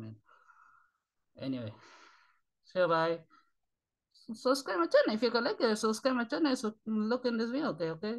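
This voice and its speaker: A tired man, a native Spanish speaker, rambling in halting, repetitive broken English with sighs as he talks to his channel's viewers from a small bedroom on a webcam. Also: high voice, gravelly. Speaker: channel host. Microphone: webcam at desk.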